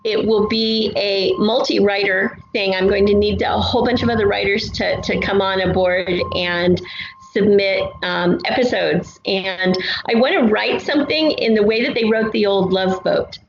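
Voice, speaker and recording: A middle-aged American woman talks casually as an interview guest, explaining at length like a storyteller. Her voice sits at a medium pitch. The recording is roomy and slightly dull, with faint noise behind it. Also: moderate pace. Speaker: guest, audio drama writer. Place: untreated city apartment room.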